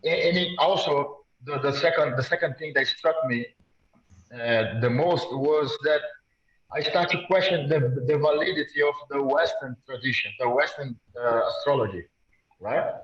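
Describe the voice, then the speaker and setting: A man from Brazil with a Brazilian Portuguese accent, asking a question in a halting, hesitant way, with many fillers and restarts, over a video call. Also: mid-range voice. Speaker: audience member asking a question. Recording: echoey muffled video call audio.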